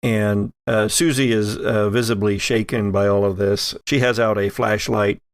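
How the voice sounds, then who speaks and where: Gravelly male game master, close dry sound. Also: fairly low pitch, fairly even intonation, normal speed, no echo. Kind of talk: improvised narration of a tabletop horror game.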